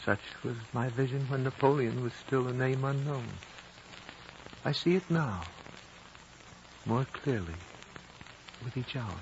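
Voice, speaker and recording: An actor playing an ancient, wise and dying high lama in a 1950s radio drama, solemn, fatherly and measured. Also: close to an everyday voice. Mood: grave, prophetic. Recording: dull, noisy old radio recording.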